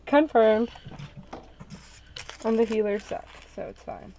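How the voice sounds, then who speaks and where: A woman's voice: fairly high pitch, slow, creaky, warm tone, slight background noise, poor audio. A woman hosting a group read-along, switching between casual joking chat and story narration, often poking fun at herself.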